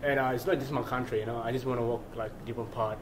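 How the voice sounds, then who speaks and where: A man's voice: medium pitch, rapid-fire, a little hiss or hum. A young man from Kenya, a student, talking casually in a discussion and leaning on "you know". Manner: relaxed, uses "you know" a lot.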